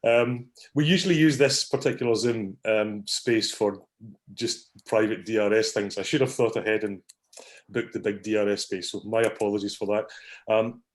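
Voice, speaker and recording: A man's voice: lowish voice, fairly even intonation, moderate pace. A man hosting an online academic meetup on a video call, talking casually with many ums and small apologies. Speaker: meetup host. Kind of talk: casual online meeting talk.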